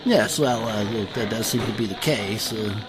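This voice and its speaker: A man playing a fussy, hesitant sage and alchemist in an audio drama, speaking politely and haltingly in a bookish way. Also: on the low side, a crowd in the background, pitched below the performer's own voice, close to an everyday voice, rough recording. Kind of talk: audio drama character acting. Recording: music behind the voice.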